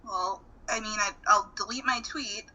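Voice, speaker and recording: A woman's voice: medium pitch, normal speed, sharp tone, poor audio. A woman in a recorded private phone conversation, speaking defensively and insistently. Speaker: journalist.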